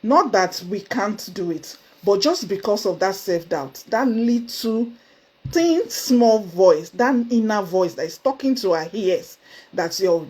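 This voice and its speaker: Earnest Nigerian woman, emphatic and lively. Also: husky. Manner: earnest, emphatic, with sudden high exclamations. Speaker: motivational speaker. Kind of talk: motivational talk to camera. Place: indoors, seated before camera.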